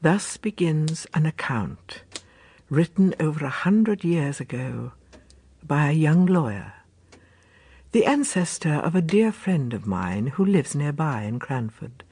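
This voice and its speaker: An actress playing a genteel Victorian lady author, narrating an audio drama in a husky, measured voice. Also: low voice, lively intonation, dark tone, no room sound, heavily acted. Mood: composed, intrigued.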